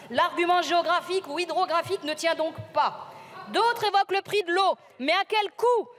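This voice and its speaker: A woman's voice: very high voice, fairly bright tone. A woman delivering a formal prepared political speech in a firm manner, with rhetorical questions. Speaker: politician speaking in a parliamentary debate.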